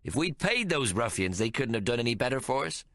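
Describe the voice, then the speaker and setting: A man voicing a shrewd, calm companion who speaks in a dry, amused, measured way. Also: mid-range voice, dry recording.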